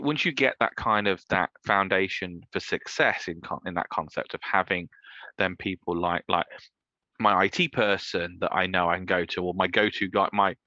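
Guy in his 30s, deep voice, rambles and restarts a lot, dry dull recording. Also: bright, forceful tone, dry recording. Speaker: guest, entrepreneur. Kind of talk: casual interview chat.

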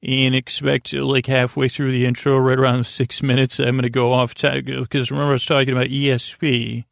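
A man with a creaky, gravelly voice hosting a bedtime podcast, meandering and halting as he trails off mid-thought. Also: mid-range voice, level tone, no room sound, clean studio sound. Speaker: podcast host. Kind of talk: rambling bedtime sleep podcast monologue.

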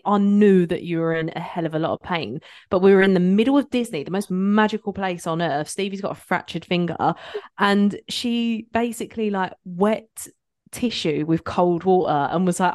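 A young woman co-hosting a podcast, chatting casually and telling stories animatedly, swearing freely. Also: medium pitch. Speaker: podcast co-host. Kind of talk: casual chat among friends.

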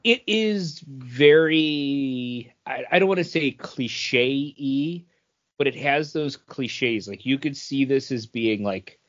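A man with a mid-pitched voice talks very slowly as a podcast co-host. He reviews comics casually, rambling and repeating phrases while he thinks. The sound is slightly dull and lo-fi.

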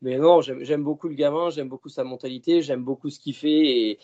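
Podcast guest, male, warm, rambling, muffled lo-fi sound. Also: a little high, steady tone, fairly quick. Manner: chatty, rambling, many fillers and restarts. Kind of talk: casual podcast chat. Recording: dull lo-fi sound.

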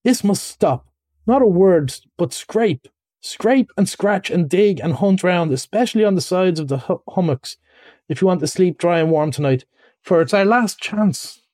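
A man performs an excitable rat from a storybook, with a high, emphatic voice. He is kindly underneath but bossy and easily exasperated, and the sound is dry and close. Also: normal speed, no echo. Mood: angry, urgent. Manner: eager, emphatic, impatient.

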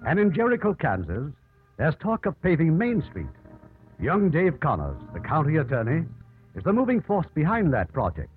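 A man's voice: on the high side, huge pitch swings, normal speed. A man hosting and narrating a 1950s radio drama in scripted style. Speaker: host and narrator. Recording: narrow old radio broadcast with music.

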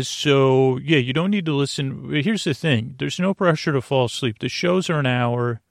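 A man hosting a sleep podcast with a rambling bedtime monologue, meandering and halting, repeating himself and trailing off. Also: medium pitch, gravelly, dry recording, studio quality.

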